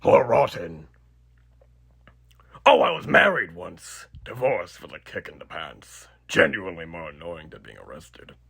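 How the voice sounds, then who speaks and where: A man voicing a sinister older killer inside a haunted animatronic, rambling and theatrical with a raspy, gravelly voice, in a roleplay monologue to the listener. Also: deep voice, sing-song, a little slow, a bit bright, pitched below the performer's own voice, exaggerated voice. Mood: rambling, amused. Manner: rambling, theatrical, mock-friendly.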